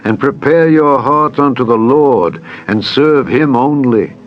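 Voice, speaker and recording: A man's voice: medium pitch, a little slow, muffled recording, voice pushed up, close to an everyday voice. A man voicing a stern prophet in dramatized scripture, exhorting in a declamatory, commanding way. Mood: solemn urging.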